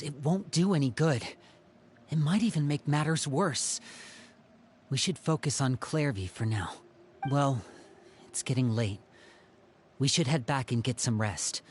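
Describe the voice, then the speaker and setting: Game role: male actor as serious young elder brother, mid-range voice, measured and protective, faint ambient noise. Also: mid-range voice, a little mellow, natural voice. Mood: resolute. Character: composed young brother, protective leader of his siblings. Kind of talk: video game dialogue.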